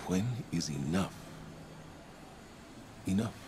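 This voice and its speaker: A man playing a weary, guilt-ridden veteran military commander in a video game, speaking in a solemn, halting, reflective way. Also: sits low, background noise. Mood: weary, remorseful. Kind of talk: dramatic video game acting.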